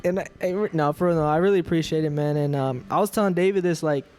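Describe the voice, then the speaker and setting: Young man, podcast host, casual and joking, slightly high voice. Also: fast, a little mellow, faint music behind. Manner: friendly, joking, teasing.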